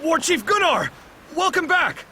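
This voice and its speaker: An actor playing an excitable, suspicious port guard in a video game, calling out bluntly. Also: male voice, very high-pitched, unhurried, slight background noise, heavily acted. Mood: surprised. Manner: loud, blunt challenging. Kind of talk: video game cutscene acting.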